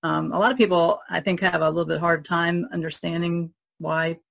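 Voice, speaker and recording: Low, creaky-voiced woman in casual talk. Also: steady tone, normal speed. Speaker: podcast panelist. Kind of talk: casual discussion.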